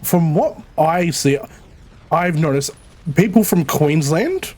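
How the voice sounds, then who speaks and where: An Australian man with a Melbourne accent, his voice sitting a touch high for a man. He chats loosely during a co-op game run, rambling quickly and swearing without a second thought. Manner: relaxed, swears freely, quick rambling talk. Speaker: streamer playing co-op. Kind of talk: casual gaming chat.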